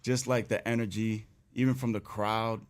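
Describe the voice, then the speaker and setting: A hoarse man on a podcast, chatting excitedly. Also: on the low side, normal speed. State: hoarse from screaming. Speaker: podcast co-host. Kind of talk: casual chat.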